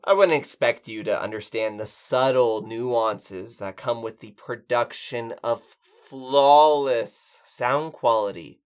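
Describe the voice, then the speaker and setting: A performer playing a pedantic, fussy apocalypse survivor who co-hosts a radio show in a pompous, wordy way. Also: mid-range voice. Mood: smug, pompous. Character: pedantic, fussy survivor co-hosting an amateur broadcast. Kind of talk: scripted comedic audio drama, bantering radio show.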